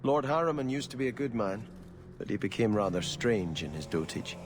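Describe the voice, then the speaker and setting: A man's voice: on the low side, expressive, a little fast, a little hiss or hum, close to an everyday voice. An actor playing an earnest, brooding nobleman in a video game, speaking formally and solemnly. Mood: earnest, troubled. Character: earnest, brooding young nobleman avenging his family. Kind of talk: video game cutscene acting.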